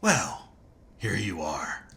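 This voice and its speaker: A man's voice: low voice, wildly swooping pitch, drawn-out words, creaky, very noisy, full character voice. A man voicing a wry, confident space-faring rogue in a video game, speaking in a knowing, sardonic way.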